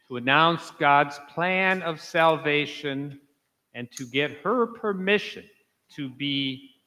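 Priest guy teaching at mass, slow and bright, big echoey church. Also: a little high. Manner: slow, explanatory, self-correcting with light laughs.

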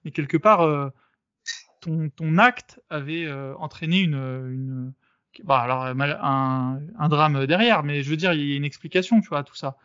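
A man on his own podcast talks through a debate in a loose, casual way. He hesitates a lot and restarts his sentences. His voice sits a little high and sounds dry and dull. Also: normal speed, fairly bright tone, smooth, no room sound. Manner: hesitant, many fillers and restarts. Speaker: podcast host.

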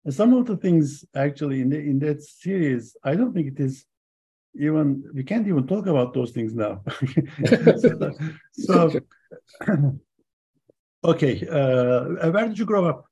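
Interviewer guy, slightly high mellow voice, asks easygoing questions. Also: lively intonation, measured pace, pauses often, breathy edge, lo-fi sound. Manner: reads formal introduction, then casual questions. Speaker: interview host. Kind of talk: interview hosting.

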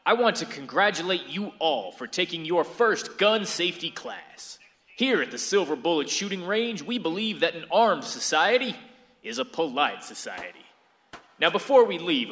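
A glib instructor speechifying to his class in a radio play. The voice is raised, bright and forceful, ringing with hall reverb. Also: a little high, a little hiss or hum, exaggerated voice. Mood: hearty, declamatory. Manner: declamatory, addressing a class. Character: glib, showy male gun-safety instructor.

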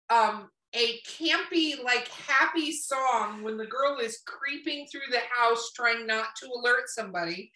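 Female podcast host, highish forceful voice, informal chat, recorded at a distance with room echo. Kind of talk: casual, unfiltered interview chat. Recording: echoey room, distant from mic.

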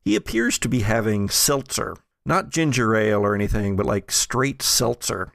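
A man acting as game master, improvising narration for a tabletop game in an informal, halting way. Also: on the low side, lively intonation, vocal fry, a bit bright, no room sound, clean studio sound.

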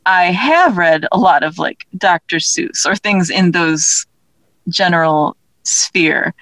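A woman's voice: fairly low pitch, animated, slow, a bit bright, dry recording. A woman speaking casually as an interview guest, chatty and self-deprecating as she talks about her own work as an author. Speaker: interview guest, author and audio drama creator.

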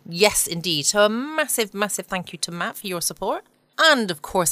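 A woman's voice: lowish voice, a bit bright, no room sound. A young English woman hosting a cozy late-night podcast from home, chatty and soothing. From England. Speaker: podcast host.

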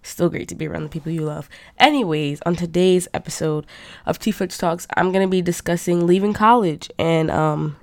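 A woman's voice: fairly low pitch, moderate pace, a little mellow, no echo. A young woman hosting her own talk show, chatting casually and rambling with many fillers, her voice nasal and stuffed up from a cold. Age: young adult. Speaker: podcast host. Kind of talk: casual monologue.

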